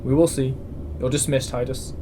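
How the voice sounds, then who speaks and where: Over clear background noise, a male actor plays a space station commandant in a sci-fi audio drama. He is stern and exasperated, speaking in a mid-pitched male voice with curt authority. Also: steady tone, normal speed, lightly acted. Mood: stern warning. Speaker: military commandant. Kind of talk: science-fiction audio drama acting.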